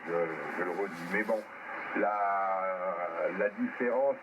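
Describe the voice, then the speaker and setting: A man's voice: sits low, slow. A man talking off the cuff as an amateur radio operator over a radio transmission, hesitant and repeating words for emphasis. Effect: ham radio transmission. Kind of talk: unscripted technical talk over amateur radio. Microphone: radio transceiver microphone. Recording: very noisy, narrow-band radio link.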